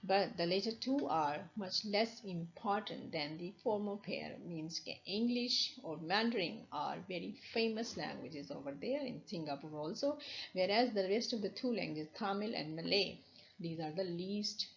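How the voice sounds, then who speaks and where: A woman's voice: fairly low pitch, unhurried, creaky. A woman with a Pakistani accent, a university lecturer explaining over slides, with many restarts and fillers. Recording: dull lo-fi recording.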